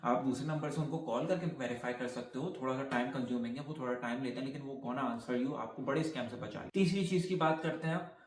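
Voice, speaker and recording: A man's voice: mid-range voice, fast. A man talking casually to viewers as a vlogger, emphatic and repetitive, mixing in English and answering his own questions. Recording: echoey room with faint background noise.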